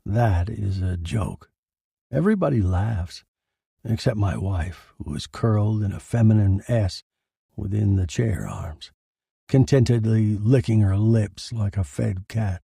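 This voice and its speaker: Man as a slow, gravelly, brooding dead narrator. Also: on the low side, warm tone, dry recording, clean studio sound, heavily acted. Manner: brooding, deliberate, dramatic. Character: murdered man, a bitter, eerily detached dead narrator. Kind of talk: scripted first-person noir horror narration.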